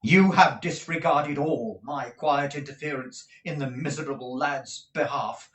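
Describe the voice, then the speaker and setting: A man voicing a fiery young man who defies a cruel schoolmaster, declaiming passionately and loudly. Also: medium pitch, unhurried, echoey room, voice pushed up, natural voice. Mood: defiant. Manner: passionate, loud, forceful declaiming.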